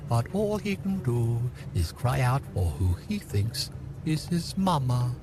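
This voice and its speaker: A man plays a gloating outcast schemer in an audio drama. He speaks in a medium-pitched male voice, theatrical and taunting, full of bitter grandeur. Music plays clearly underneath. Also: very animated, measured pace.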